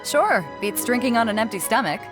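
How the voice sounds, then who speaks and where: A woman's voice: a little high, animated, fairly quick, lightly acted. A woman voicing a bold, hearty sea captain in a video game, boisterous and blunt. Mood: jovial. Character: bold, hearty adult female sea captain who likes drink. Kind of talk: animated video game character acting. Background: music.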